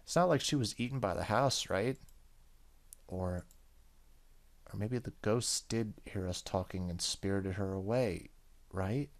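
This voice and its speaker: A man's voice: lowish voice, measured pace, pauses often. A man hosting a gaming video, reading story narration aloud and adding casual, joking asides. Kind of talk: let's play commentary, reading game narration aloud.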